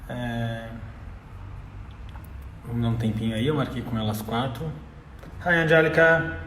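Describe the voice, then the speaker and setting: A man's voice: medium pitch, normal speed, bright, forceful tone, slight background noise. Ask for a Brazilian man interviewing in an echoey room. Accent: Brazilian Portuguese. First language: Portuguese. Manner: hesitant, many fillers and restarts. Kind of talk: live online interview.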